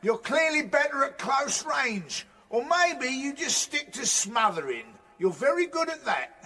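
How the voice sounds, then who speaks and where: A man's voice: extremely high pitch, sing-song, measured pace, sharp tone, full character voice. An actor playing a grumpy, rude police chief inspector in a radio drama, sarcastic and irritable as he barks orders. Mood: exasperated.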